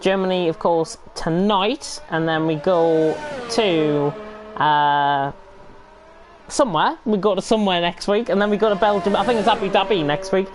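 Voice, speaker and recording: Croaky, rambling woman commentator. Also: on the low side, moderate pace, a little hiss or hum. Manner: rambling, repeats "as I say", self-correcting. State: croaky with flu. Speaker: race commentator. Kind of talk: live sim-racing commentary.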